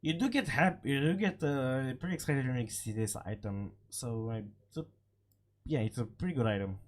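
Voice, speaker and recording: A man giving casual, unscripted game commentary, halting and repeating short phrases, his voice slightly hoarse from a cold. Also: mid-range voice, expressive. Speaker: gaming video creator.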